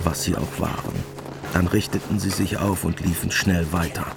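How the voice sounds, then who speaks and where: An actor narrating a war story in a German radio play as a measured storyteller, in a dry, matter-of-fact manner. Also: male voice, deep voice, flat delivery, vocal fry, over music.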